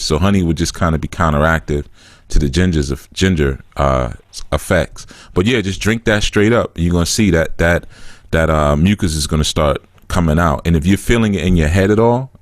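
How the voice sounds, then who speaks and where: A man's voice: deep voice, no echo. A man hosting a spiritual call-in show, talking bluntly and giving frank advice to callers. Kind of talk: casual call-in radio talk.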